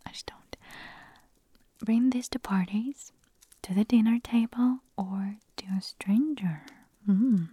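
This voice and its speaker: A woman whispers in a slow, unhurried ASMR style, her voice raspy and breathy from nearly losing it. The sound stays soft and mellow rather than bright. She laces her talk with giggles and self-deprecating, chatty remarks. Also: a little high, no room sound. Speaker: ASMR creator.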